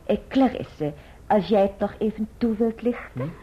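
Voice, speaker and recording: An actress playing a prim, formal maiden aunt in a radio drama, stiff, precise and ceremonious. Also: female voice, medium pitch, expressive, normal speed, slight background noise, natural voice. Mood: prim, businesslike. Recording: muffled, dull old radio recording.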